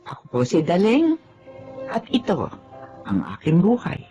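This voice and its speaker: A woman's voice: fairly low pitch, wildly swooping pitch, a little slow, mellow, lo-fi sound, soft background music. An actress playing a humble, loyal middle-aged housemaid and mother in a Filipino radio drama. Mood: calm, content. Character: long-serving loyal housemaid and mother, humble, hurt, forgiving. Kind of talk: Filipino radio drama acting and first-person narration.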